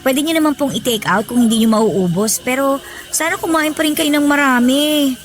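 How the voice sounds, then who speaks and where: A woman's voice: high voice, normal speed, faint music behind, lightly acted. A woman with a Filipino accent playing a kind, respectful, caring younger friend in a radio drama. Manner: polite, solicitous. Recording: faint background noise and ambience.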